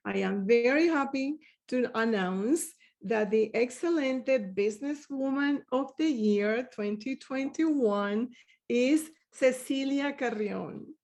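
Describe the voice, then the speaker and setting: Female awards host: unhurried, soft and mellow, measured reading of winner tributes. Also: on the high side. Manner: measured, reads prepared tributes. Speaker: awards ceremony presenter. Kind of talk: presenting awards at a live event.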